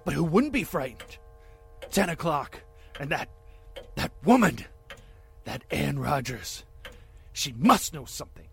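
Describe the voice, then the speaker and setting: An actor playing a nervous, hunted man who fears murder, talking to himself in halting, panicky bursts in a radio drama. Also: fairly high pitch, big pitch swings, creaky, fairly bright tone, soft background music, heavily acted. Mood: jumpy, nervous. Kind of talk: 1940s radio drama acting.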